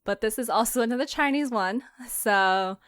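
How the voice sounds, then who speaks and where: Giggly podcast host lady, higher voice, close dry sound, just chatting. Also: normal speed, a bit bright, clean tone, no echo. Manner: giggly, laughs often while talking. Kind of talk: casual chat.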